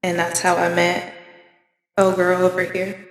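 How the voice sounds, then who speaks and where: A woman's voice: on the low side, completely flat delivery, unhurried. A woman in her twenties from New York, raised in North Carolina, co-hosting a podcast with loose, candid chatter and lots of fillers. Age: 20s. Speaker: podcast co-host. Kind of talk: casual chat. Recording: echoey room with long reverb.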